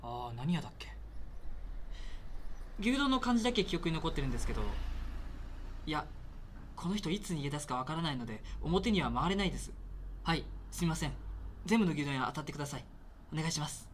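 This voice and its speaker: A man's voice: a little high, lively intonation, brisk, long pauses, slight background noise, close to an everyday voice. A man playing an earnest, indignant railway station attendant in a radio drama, speaking in polite but insistent, reproachful sentences. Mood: flustered, apologetic. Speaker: actor in an amateur radio drama. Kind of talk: naturalistic radio drama dialogue.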